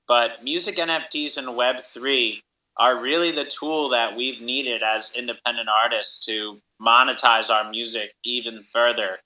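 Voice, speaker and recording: A man hosts a casual interview in a conversational way. His voice is middle in pitch with a strikingly bright, forceful edge. He talks as one independent artist to another. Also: rough recording. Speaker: host, independent music artist.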